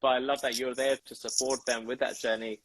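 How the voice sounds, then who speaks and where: Male podcast host, mid-range voice, halting, effusive, dry close sound. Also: medium pitch, flat delivery, no room sound, low-quality recording. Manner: halting, many restarts and fillers; effusive, keeps thanking the guest. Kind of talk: casual interview chat.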